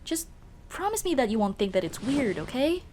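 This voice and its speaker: A woman playing a young tomboy childhood friend in an ASMR roleplay, speaking in casual boyish slang with hesitant pauses. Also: fairly high pitch, big pitch swings, background noise. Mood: nervous. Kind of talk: ASMR roleplay, intimate one-sided dialogue.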